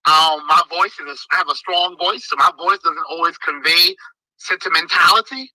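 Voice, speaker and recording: A man's voice: a little high, normal speed, dry recording, low-quality recording. A man running for office, giving an impassioned thank-you speech with a strong, fiery delivery. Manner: strong, forceful voice. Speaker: political candidate.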